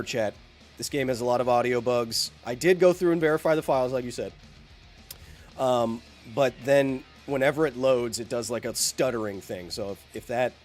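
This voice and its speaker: Male streamer, thirties, mid-pitched, a touch congested, loose jokey chatter to chat, faint guitar under. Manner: rambling, jokey, talks to chat. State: congested, recovering from bronchitis. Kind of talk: live game stream chat.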